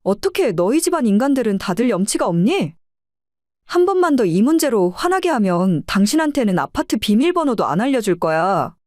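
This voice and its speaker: Sharp, fed-up wife acted by a woman, swinging pitch. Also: sing-song, a little fast, no room sound, studio quality. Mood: angry, scornful. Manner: confrontational, scolding. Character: fed-up middle-aged working wife, sharp and scornful. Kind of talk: audio drama dialogue.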